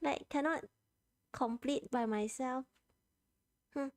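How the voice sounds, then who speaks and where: Slow, high-voiced Malaysian woman streamer. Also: darkish tone, dry recording, clean studio sound. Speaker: game livestreamer. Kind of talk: casual livestream chat while playing a game. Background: game music.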